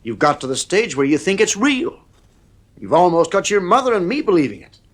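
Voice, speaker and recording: A man's voice: sits high, very animated, brisk. An actor playing a stern, exasperated middle-aged father in a 1950s radio drama, speaking in a firm, clipped, authoritative way.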